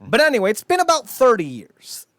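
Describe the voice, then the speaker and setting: A man's voice: very high voice, wildly swooping pitch, a little fast, a bit bright, no room sound, studio quality. A man hosting a podcast with casual, comedic chat, riffing and swearing freely. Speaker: podcast host.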